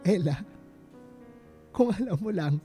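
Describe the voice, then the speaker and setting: An actor playing a devoted, vulnerable man in a Filipino radio romance drama, speaking with a halting, earnest, emotional delivery and a Filipino accent. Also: high-pitched, lively intonation, dark tone, slightly rough, faint music behind, exaggerated voice. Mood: tender, resolute. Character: devoted, vulnerable man confessing love to his reunited sweetheart.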